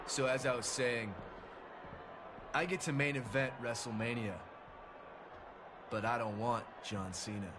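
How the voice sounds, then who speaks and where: An actor playing a cocky, defiant rookie wrestling champion in a video game, with a casual, smug, dismissive manner. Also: male voice, medium pitch, unhurried, long pauses, noisy background, close to an everyday voice. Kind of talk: video game cutscene acting.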